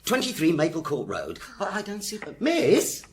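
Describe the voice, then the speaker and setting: A man's voice: sits high, huge pitch swings, normal speed, a little hiss or hum, full character voice. An actor with a British accent playing a polite, befuddled desk policeman who speaks hesitantly and formally in a radio drama. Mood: deferential.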